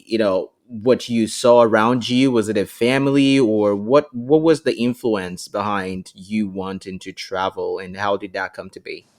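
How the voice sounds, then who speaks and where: A man hosts a casual podcast interview and encourages his guest. His voice sits a little low, and he pads his questions with frequent "you know"s. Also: fairly even intonation, a little slow, hardly any pauses. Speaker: podcast host.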